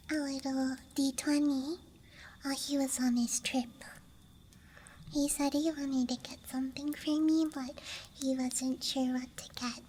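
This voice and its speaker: A woman whispering softly and breathily in a rambling, hesitant ASMR show-and-tell as a friendly dice goblin, close to the microphone. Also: sits high, a little hiss or hum. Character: friendly dice goblin hoarding her treasures. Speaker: ASMR performer. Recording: close mic, audible ambient night sounds.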